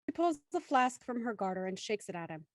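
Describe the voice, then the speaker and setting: A woman's voice: fairly high pitch, very animated, brisk, dry recording, clean studio sound. A woman narrating a scripted audio drama as its stage-direction narrator.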